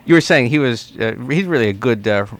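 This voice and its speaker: A man's voice: mid-range voice, expressive, fairly quick. A man hosting a conversational call-in interview show on the radio. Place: radio studio.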